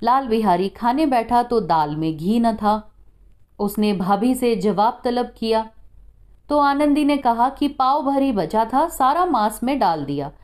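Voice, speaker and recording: A woman reads a short story as a practiced narrator. Her voice is slightly high and moves expressively up and down. She takes her time, with a mellow, soft-edged tone. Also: expressive, measured pace.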